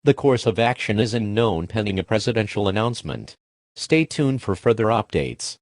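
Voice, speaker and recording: A man voicing a calm government emergency announcer reading alerts in a steady, formal way. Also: lowish voice, normal speed, a little hiss or hum.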